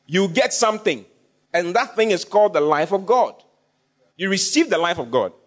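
A male pastor delivers a sermon, his voice sitting a little high and swinging widely in pitch. He drives points home by repeating lines and asking the congregation questions. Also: very animated, normal speed, a bit bright. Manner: call-and-response with congregation, repeats phrases for emphasis.

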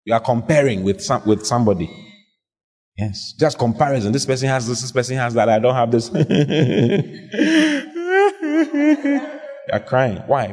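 A man preaching, his voice husky and his pitch swinging widely as he calls questions out to his congregation. The sound carries a long reverb from a large church hall. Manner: animated, call-and-response with the congregation. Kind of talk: lively sermon.

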